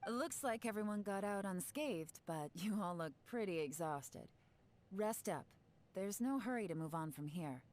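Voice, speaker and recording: A woman voicing a composed female secret agent who speaks calm and businesslike. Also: medium pitch, normal speed, close to an everyday voice.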